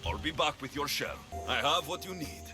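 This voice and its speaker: A man's voice: a little high, expressive, normal speed, over music, natural voice. An actor playing a cocky, sardonic male mercenary in a video game cutscene. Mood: dry teasing. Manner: dry, sardonic, bargaining. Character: cocky, wry male mercenary in ancient Greece.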